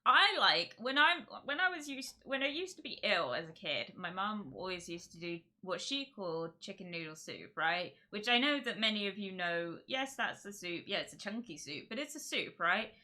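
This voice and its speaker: A woman's voice: mid-range voice, sing-song, normal speed, hardly any pauses, bright, forceful tone. A woman, from Czechia, chatting and rambling on a live stream and giggling often. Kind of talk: casual live-stream chat.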